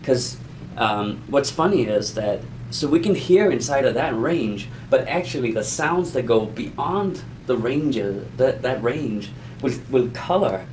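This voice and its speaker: A man with a medium-pitched voice explains things in an informal lesson. He talks conversationally and haltingly, circling back and repeating himself. There is some light noise behind him. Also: lively intonation, darkish tone, rough recording. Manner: halting, rambling explanations with self-repetition. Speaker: audio explainer teaching beginners.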